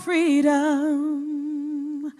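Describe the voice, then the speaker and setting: A woman sings in a soulful style at a very slow pace. Her voice is high, with faint music around it. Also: monotone, dark tone. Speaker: vocalist.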